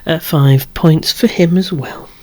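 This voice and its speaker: Hushed whispering ASMR presenter of unclear sex. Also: medium pitch, unhurried. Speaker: presenter of a toy collection. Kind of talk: whispered ASMR show-and-tell of collectible toys.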